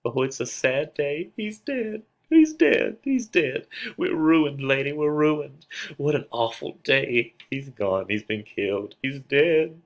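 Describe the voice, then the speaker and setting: Distraught older nurse woman, done by a man, raised pitch, wailing, lamenting, stage tragedy. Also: big pitch swings, slow, full character voice. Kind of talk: dramatic reading of a modernized stage tragedy.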